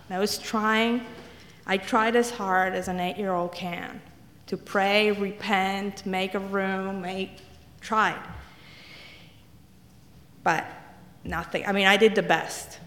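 A woman's voice: medium pitch, fairly bright tone. Wry woman in an echoey hall. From Italy. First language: Italian. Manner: wry, self-deprecating storytelling. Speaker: guest speaker. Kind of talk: humorous personal testimony talk.

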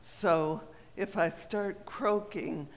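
A man from Colorado with a raspy voice, giving an unhurried, conversational talk from the pulpit as a guest speaker. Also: high voice, fairly even intonation. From Colorado, USA. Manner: unhurried, conversational, self-deprecating. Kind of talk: sermon talk. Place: large church sanctuary. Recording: echoey hall, faint room noise.

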